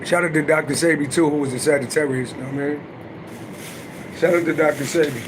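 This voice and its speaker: A middle-aged man with a naturally raspy voice, chatting loosely and profanely on a livestream and answering viewers' comments. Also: fairly even intonation, quick pace, background noise. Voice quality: deep voice. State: voice a little worn from singing. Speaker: livestream host and astrology reader. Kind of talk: casual livestream chat.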